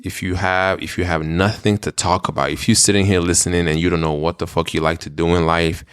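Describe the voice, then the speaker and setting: A man who was raised in Israel, co-hosting a podcast in relaxed, rambling, casual chat with some swearing. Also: sits low, fast, clean tone, no echo, clean studio sound. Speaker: podcast co-host.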